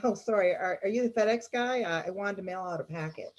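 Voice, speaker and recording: A woman's voice: mid-range voice, quick pace, a little mellow, dull audio, natural voice. Woman playing an exasperated middle-aged showrunner. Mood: distracted, polite. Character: exasperated fifty-year-old female TV showrunner. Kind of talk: comedy script table read.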